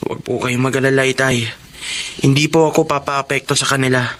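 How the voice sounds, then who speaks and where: A man's voice: mid-range voice, level tone, brisk, fairly bright tone, natural voice. A man acting a humble, patient young student janitor in a Filipino radio drama, speaking politely and earnestly with a Filipino accent. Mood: earnest, respectful.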